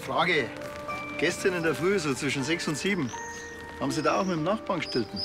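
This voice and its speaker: An actor with a Bavarian accent playing a dry, laconic village policeman with a deadpan manner. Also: a little high, brisk, music in the background.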